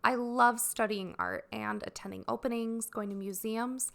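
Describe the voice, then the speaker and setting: A woman hosting a solo podcast for artists, sounding raspy and dry as she gets over a cold, talking in an earnest, explanatory way. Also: on the high side, steady tone, normal speed, a bit bright. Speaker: podcast host.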